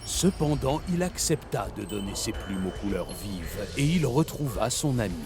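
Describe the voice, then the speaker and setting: An actor playing a male storyteller in a cartoon, telling a fable in a gentle storybook manner. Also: on the low side, very animated, normal speed. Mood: storytelling. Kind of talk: French cartoon dubbing. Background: cartoon music.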